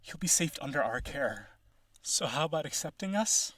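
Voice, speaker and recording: A man playing a possessive, needy vampire aristocrat in a romantic audio roleplay, coaxing the listener with eager theatrical delivery and a breathy voice. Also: a little high, animated, normal speed, fairly bright tone, no echo.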